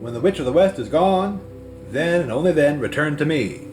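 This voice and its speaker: A man's voice: fairly high pitch, animated, normal speed, darkish tone, lightly acted. A man voicing a grand, thundering, commanding wizard who is a giant head, in a storybook. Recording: music behind the voice.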